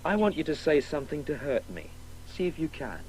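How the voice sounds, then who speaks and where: A man's voice: a little high, very animated, a little fast, a little mellow, low-quality recording. An actor playing a self-doubting, needling young man in a radio play, hesitant yet insistent. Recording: faint background hiss, old radio broadcast.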